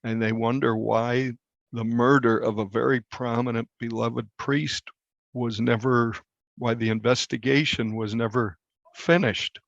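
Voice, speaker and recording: A man being interviewed as a reporter, speaking in a measured, halting way and repeating phrases for emphasis. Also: medium pitch, fairly even intonation, vocal fry, dry recording, highs cut off.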